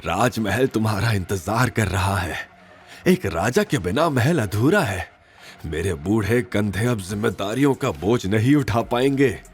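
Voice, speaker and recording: A man's voice: on the low side, expressive, measured pace, a little hiss or hum. A man voicing a devoted, weary seventy-year-old royal advisor with a formal, solemn manner in an audio drama. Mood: reverent, weary.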